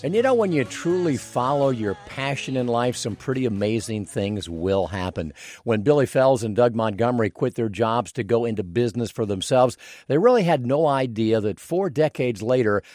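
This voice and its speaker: A man co-hosting a show, reading an ad and a scripted intro in a smooth announcer delivery. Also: medium pitch, expressive, moderate pace, a little mellow, no echo, studio quality, faint music behind. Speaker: co-host. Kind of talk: scripted presenting and ad read.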